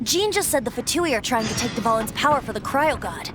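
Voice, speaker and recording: A woman's voice: a little high, expressive, brisk, lightly acted. A woman playing a youthful, playful bard boy in a video game, with a light, teasing way of talking. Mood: thoughtful. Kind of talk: English video game voice acting. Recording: game music behind the voice.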